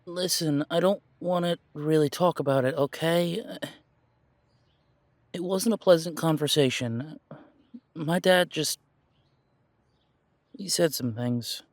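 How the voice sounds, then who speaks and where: A man's voice: a little high, takes it slowly, pauses often, no echo. A man playing an earnest, naive young werewolf in an audio roleplay, hesitant, defensive and eager to please. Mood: upset, evasive. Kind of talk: audio roleplay drama acting.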